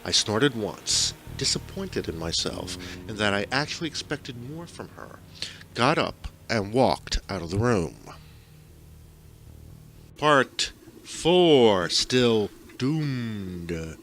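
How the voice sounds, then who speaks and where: A man's voice: lowish voice, sing-song, slow pace, a bit bright, a little hiss or hum. A man reading scripted, surreal first-person stories as an audiobook narrator, on a home recording.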